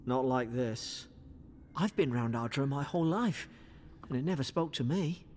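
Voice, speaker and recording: An actor playing an earnest soldier companion to an envoy in a fantasy video game, urgent and insistent. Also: male voice, mid-range voice, animated, normal speed, a little mellow, a little hiss or hum, natural voice. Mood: concerned.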